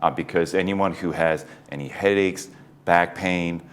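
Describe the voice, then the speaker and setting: A man in his forties, a neurosurgeon guest on an interview show, explaining things in a measured way with light chuckles. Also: sits low, steady tone. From United States East Coast. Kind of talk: casual interview talk.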